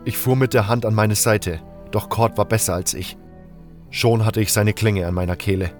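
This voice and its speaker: A man with a fairly low voice narrates a fantasy audiobook. He reads scripted first-person story text over a bed of music. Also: steady tone, moderate pace.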